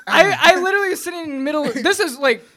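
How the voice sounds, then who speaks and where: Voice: very high-pitched, expressive, fast, fairly bright tone. A podcast co-host chatting casually and comedically, in a loose, jokey way with some swearing.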